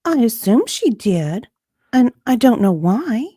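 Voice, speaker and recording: Woman acting as unsure deposition witness, dramatic reading, slow, hesitant, mellow tone, dry close recording. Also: on the high side, big pitch swings, slightly rough, dry recording, exaggerated voice. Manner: hesitant, drawn out, uncertain.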